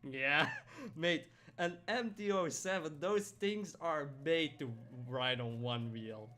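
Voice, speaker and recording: A man's voice: on the high side, lively intonation, slow, bright, forceful tone. Chatty young man streaming a game. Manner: chatty, rambling, answers chat by name, laughs often. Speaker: live streamer. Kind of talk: casual live stream chat while gaming.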